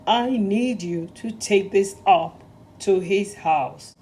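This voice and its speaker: A woman's voice: medium pitch, takes it slowly, darkish tone, slight background noise, natural voice. A woman voicing a pompous, firm male railway boss with an air of authority in a children's storybook. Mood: commanding.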